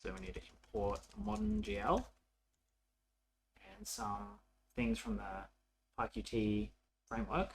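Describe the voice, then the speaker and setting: A man's voice: high voice, very animated, unhurried, gravelly, pauses often, a little hiss or hum. A man narrating a casual coding tutorial as an instructor, informal and thinking aloud as he works.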